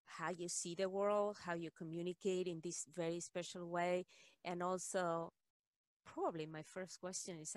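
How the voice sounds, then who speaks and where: Slightly low-voiced woman interviewer, dry recording. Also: no echo. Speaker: guest interviewer, client of the host. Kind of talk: conversational podcast interview.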